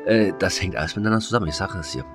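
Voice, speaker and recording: A man's voice: sits low, rapid-fire, music in the background. A man co-hosting a casual podcast, playful and sometimes mock-solemn. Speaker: podcast co-host. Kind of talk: casual chat.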